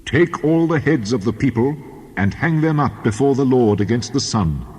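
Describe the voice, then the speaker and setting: A man's voice: mid-range voice, expressive, moderate pace, a little mellow, slightly rough. A man playing a solemn, stern God who proclaims with weighty authority in a dramatized Bible reading. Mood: stern, wrathful. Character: God, solemn commanding male deity, stern and wrathful. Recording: long cavernous reverb.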